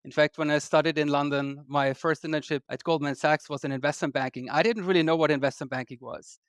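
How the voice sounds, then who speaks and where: A man, a podcast guest explaining his work in a measured, polite way. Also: on the high side, fairly even intonation, clear, resonant tone, no echo, studio quality. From Austria. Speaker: guest investor. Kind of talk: podcast interview.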